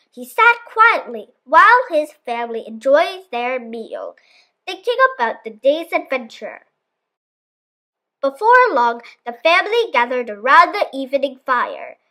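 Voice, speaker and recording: High, animated woman storyteller. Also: low-quality recording. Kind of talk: expressive children's story read-aloud.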